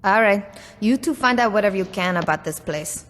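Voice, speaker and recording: A woman's voice: medium pitch, expressive, a little fast, a bit bright, lightly acted. A woman playing a cocky, foul-mouthed gang boss in a video game, blunt, commanding and unbothered. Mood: determined, commanding. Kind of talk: video game cutscene acting.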